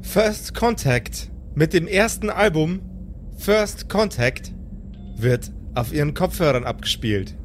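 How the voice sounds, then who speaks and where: A man's voice: a little high, expressive, takes it slowly, slight background noise. A man narrating an improvised audio drama as game master in a dramatic storytelling style.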